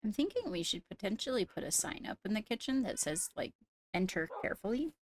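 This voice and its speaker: A woman's voice: medium pitch, sing-song, brisk. A woman chatting casually while playing a game with friends. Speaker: player in a Minecraft roleplay group. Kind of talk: casual gaming chat.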